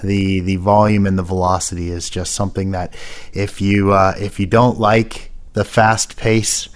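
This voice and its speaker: Enthusiastic Midwestern man, low voice. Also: unhurried. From Midwestern United States. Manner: energetic, uses rhetorical questions and emphatic phrasing. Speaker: career centre manager, guest. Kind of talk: casual interview answers.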